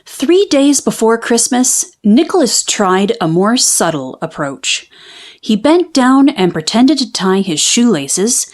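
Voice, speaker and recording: A woman reading a children's picture book aloud in an expressive, narrated storytelling style. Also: on the high side.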